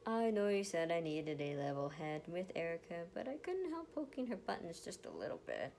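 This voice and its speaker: A woman's voice: lowish voice, big pitch swings, normal speed, darkish tone. A woman voicing a dry, teasing young man, a big brother, in an amateur story reading. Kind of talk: amateur fanfiction read-aloud. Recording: noticeable background noise.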